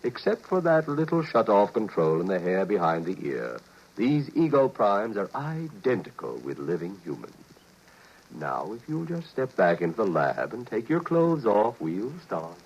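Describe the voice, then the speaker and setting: An unctuous, furtive American salesman in a 1950s radio play. His voice is low and rough, with swooping intonation and soothing, confidential patter. The vintage recording sounds dull. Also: very animated, normal speed, a little mellow, exaggerated voice. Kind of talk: 1950s radio drama acting.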